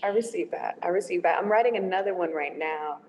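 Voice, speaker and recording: A woman's voice: on the low side, a little fast, darkish tone, a little hiss or hum. A woman screenwriter talking casually and reflectively in a question-and-answer discussion of her writing. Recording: long hollow room reverb.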